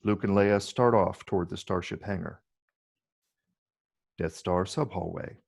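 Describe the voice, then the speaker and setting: A man reading stage directions as scripted narration in a measured, descriptive way. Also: low-pitched, gravelly, a little mellow. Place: virtual read, recorded remotely.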